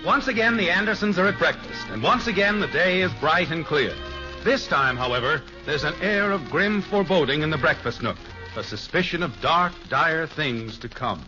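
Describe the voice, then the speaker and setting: A man's voice: fairly high pitch, expressive, normal speed. A man reading scripted narration as the announcer of an old radio show. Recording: dull band-limited old radio recording. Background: music.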